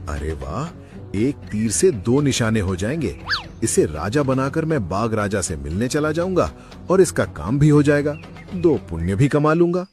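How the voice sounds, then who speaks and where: Man as cartoon lion king, animated pitch, kindly, regal, trusting, light music underneath. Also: moderate pace, close to an everyday voice. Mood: pleased. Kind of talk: Hindi animated moral story acting.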